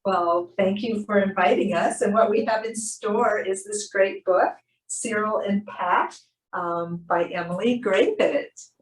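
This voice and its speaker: A woman reading aloud to children in an expressive storybook style, drawing out key words for emphasis. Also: medium pitch, measured pace. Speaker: animal shelter presenter reading a picture book. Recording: roomy, distant microphone.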